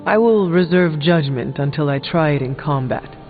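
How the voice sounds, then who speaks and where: A man playing a composed, formal warrior hero from centuries past in a video game, measured and understated. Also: a little high, narrow, tinny audio, soft background music, close to an everyday voice. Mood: calm, reserved. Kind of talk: video game dialogue acting.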